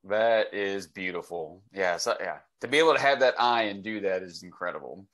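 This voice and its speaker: A man in his forties with a fairly low voice hosts a relaxed interview from a home office video call. He speaks conversationally and with enthusiasm, like an agent advising clients. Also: moderate pace. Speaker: host, real estate agent. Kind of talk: casual interview talk. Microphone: computer mic on a video call.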